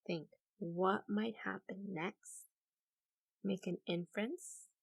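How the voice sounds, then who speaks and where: A woman's voice: medium pitch, steady tone, slow pace, vocal fry. A woman teacher leading an interactive read-aloud for children, explaining in an encouraging way and addressing listeners directly.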